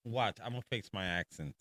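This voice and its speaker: A man with a Puerto Rican accent chats on a podcast, his bright voice leaping up and down as he argues his point with a laugh. The sound is dry and close. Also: fairly low pitch, no room sound. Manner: laughing, insistent, talks back with swearing. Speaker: podcast co-host. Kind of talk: casual chat.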